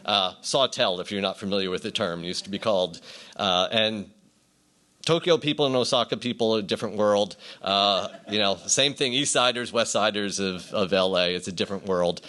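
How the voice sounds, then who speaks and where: A middle-aged or older man giving an informal acceptance speech as a writer, telling anecdotes conversationally with hesitant pauses. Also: lowish voice, pushed and bright.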